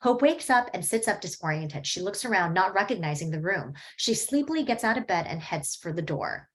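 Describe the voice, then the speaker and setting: A woman narrating a table read, reading scene headings and stage directions in a steady, plain way. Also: medium pitch, brisk.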